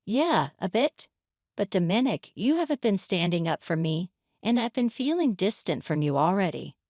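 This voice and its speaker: Female narrator as hurt, indignant wife, pleading yet confrontational, animated intonation, dry story recording. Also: mid-range voice, normal speed, dry recording. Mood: angry, hurt. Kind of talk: dramatized story reading.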